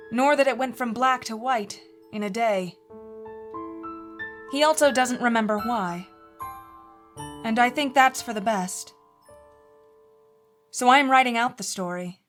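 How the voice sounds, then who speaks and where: A woman playing a haunted mother in her forties, telling a first-person horror story in a measured, earnest, confiding way. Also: a little high, fairly bright tone. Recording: music bed under the voice.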